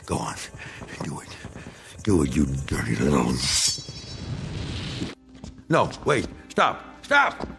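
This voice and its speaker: Deep, hardened American veteran. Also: male voice, huge pitch swings, slow pace, long pauses, music in the background, exaggerated voice. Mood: alarmed. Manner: gruff, sardonic, colloquial. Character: cynical, hardened American war veteran, needling and menacing. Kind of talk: dramatic radio play acting.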